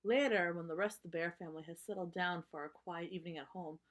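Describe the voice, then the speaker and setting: A woman reading a story aloud for children, narrating and pausing to explain things simply. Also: on the low side, steady tone.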